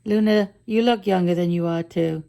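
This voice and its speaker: A middle-aged woman with a raspy, tired voice, chatting casually to camera in a rambling, self-deprecating daily vlog. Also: fairly low pitch, moderate pace, dark tone. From United Kingdom. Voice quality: raspy, gravelly. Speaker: vlogger.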